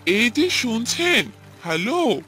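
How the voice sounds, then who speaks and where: A man plays a young village suitor in a cartoon. He is smitten and scheming, ingratiating in a lively, swooping delivery at a slow pace, with faint music behind. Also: very high-pitched, exaggerated voice. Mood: eager, courting. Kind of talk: cartoon voice acting.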